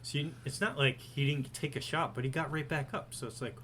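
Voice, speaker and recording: A man co-hosting a podcast, chatting casually. He is excitable and profane, and his loudness swings a lot. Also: on the high side, a little fast, a little hiss or hum. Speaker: podcast co-host. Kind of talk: casual chat.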